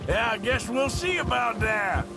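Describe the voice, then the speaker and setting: Western game role: menacing outlaw gunman, dry folksy drawl, high-set voice, loud music behind. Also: animated, a little slow, vocal fry, full character voice. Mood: dry, matter-of-fact. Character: tough, menacing, sardonic male outlaw gunman. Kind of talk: dramatic western video game acting.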